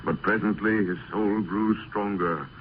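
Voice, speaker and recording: Solemn low-voiced man reciting on vintage radio. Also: steady tone, measured pace. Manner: solemn, declamatory. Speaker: narrator. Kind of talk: dramatic poetry recitation, old-time radio. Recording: narrow, noisy vintage radio recording.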